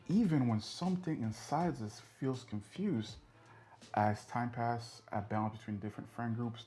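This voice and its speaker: Guy in his 30s doing a solo podcast, earnest and reflective, normal pitch voice, a little soft music behind. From born in the US, raised in Europe. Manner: earnest, measured, with occasional fillers. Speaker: podcast host.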